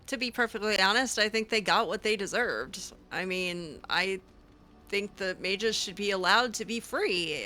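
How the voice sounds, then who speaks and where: A woman's voice: medium pitch, sharp tone, a little hiss or hum. A woman role-playing her character over a video game, improvising in a hesitant, informal, conversational way.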